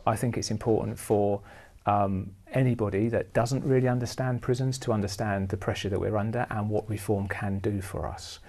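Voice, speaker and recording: A man's voice: on the low side, fairly even intonation, normal speed, dark tone. A man, a prison governor speaking earnestly in an interview.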